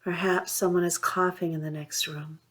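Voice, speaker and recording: A woman with a slightly low voice leads a mindfulness practice. She gives gentle instructions in short, soothing phrases. Long pauses separate them, keeping the delivery unhurried. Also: flat delivery. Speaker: mindfulness meditation guide. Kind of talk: guided meditation, gentle instruction.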